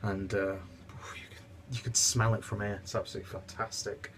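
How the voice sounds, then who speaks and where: A man's voice: lowish voice, level tone, brisk, heavy background noise. A young man with a stuffy, congested voice, casually reviewing a beer at home with rambling asides and lots of fillers. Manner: rambling, chatty, many fillers. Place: home kitchen, indoors.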